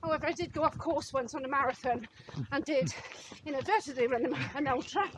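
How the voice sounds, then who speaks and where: A woman's voice: high-pitched, quick pace, background noise. A woman in her fifties from Wales, co-hosting a running channel and chatting casually to camera about her goals. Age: 50s.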